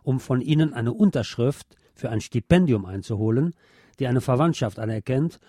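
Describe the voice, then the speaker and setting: A man's voice: mid-range voice, expressive, moderate pace, dark tone, husky, dry recording, studio quality. A man presenting a film review as a show host, partly scripted.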